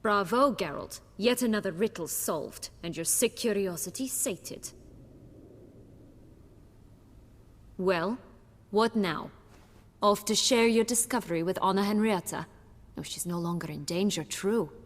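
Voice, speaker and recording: A woman's voice: medium pitch, normal speed, long reverb tail, natural voice. A woman playing a bitter, proud exiled noblewoman in a video game, speaking with haughty, mocking sarcasm. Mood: sardonic. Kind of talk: video game cutscene acting.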